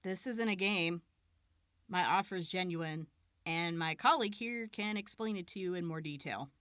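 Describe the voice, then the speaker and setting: An actress playing a composed, authoritative young raider leader in an audio drama, speaking in a measured, deliberate way. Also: female voice, fairly low pitch, a bit bright, smooth, no echo, studio quality, close to an everyday voice. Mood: solemn, persuasive. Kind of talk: post-apocalyptic audio drama acting.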